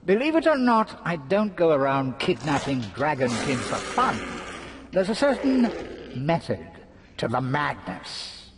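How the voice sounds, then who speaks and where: An actor playing a gleeful, mocking trickster villain in a video game, taunting with drawn-out theatrical sarcasm. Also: male voice, a little high, sing-song, background noise. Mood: smug.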